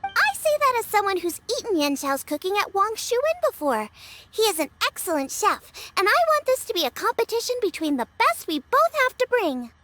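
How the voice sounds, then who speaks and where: A woman's voice: very high voice, sing-song, brisk, pushed and bright, exaggerated voice. An actress voicing a young female chef who is upbeat, eager and encouraging, with a bubbly manner. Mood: cheerful. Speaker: chef, contestant.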